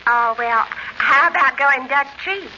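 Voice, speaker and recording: A coy young phone date. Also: female voice, sits high, measured pace, pushed and bright, exaggerated voice. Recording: muffled old radio broadcast, faint hiss.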